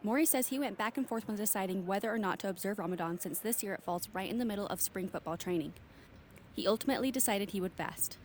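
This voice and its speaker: Brisk female news reporter. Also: mid-range voice, a little hiss or hum. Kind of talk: scripted news narration.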